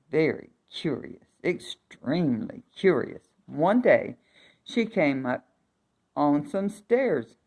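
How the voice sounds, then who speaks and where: Lady reading a fairy tale to kids, lowish voice, super expressive, chatty asides. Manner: expressive, with emphatic repetition. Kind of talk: read-aloud for young children with chatty asides.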